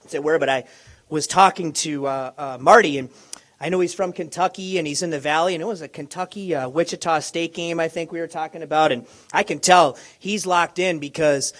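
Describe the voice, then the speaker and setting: Hoarse, eager Midwestern man at a microphone. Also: fairly high pitch, fairly bright tone. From Minneapolis, USA. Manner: eager, halting, many restarts. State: hoarse, lost voice. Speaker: college basketball coach. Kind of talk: press conference speech. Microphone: handheld or podium microphone.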